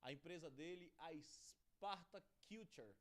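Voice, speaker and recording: Brazilian guy hosting a podcast in a studio, kinda high voice, big on honor and friendship. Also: slow, a bit bright. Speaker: podcast host. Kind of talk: podcast interview.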